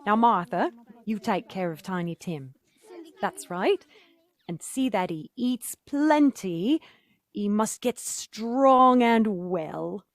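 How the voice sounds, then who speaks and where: Slow, lively, outspoken mother played by a woman. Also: mid-range voice. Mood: motherly, cheerful. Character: Mrs. Cratchit, warm motherly outspoken poor wife. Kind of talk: theatrical radio play acting. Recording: faint dish clinking behind voice.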